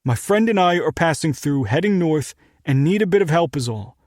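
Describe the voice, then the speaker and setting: A man voicing a weary young American man who speaks earnestly and calmly to talk his way out of danger. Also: fairly high pitch, normal speed, no echo, clean studio sound, voice pushed up, natural voice. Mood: pleading. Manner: earnest, placating. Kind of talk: audiobook character voice.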